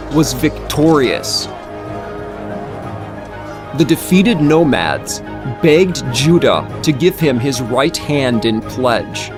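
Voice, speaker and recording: A man narrating scripture in a solemn, deliberate, emphatic storytelling style, as in a dramatized audiobook. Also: medium pitch.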